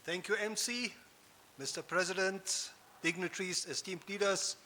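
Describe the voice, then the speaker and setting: German-accented male keynote speaker, fifties, high bright voice, emphatic stage delivery. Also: fairly even intonation, moderate pace, a little hiss or hum. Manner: rhetorical, emphatic, with audience asides. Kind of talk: conference keynote speech. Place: conference hall stage.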